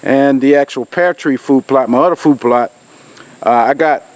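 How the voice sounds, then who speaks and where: A man's voice: medium pitch, normal speed, darkish tone, poor audio. Nasal-sounding man outdoors. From Georgia, US. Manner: rambling, folksy, with many fillers. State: nasal from a cold. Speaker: outdoors channel host. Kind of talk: casual vlog talk to camera. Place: outdoors in a rural field. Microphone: camera on a tripod.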